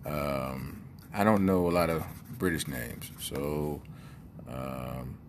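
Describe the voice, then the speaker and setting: A man's voice: very deep voice, very animated, takes it slowly, vocal fry, a little hiss or hum. A man hosting a comedic monologue, telling a story in a casual, rambling way with many fillers. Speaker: comedian host.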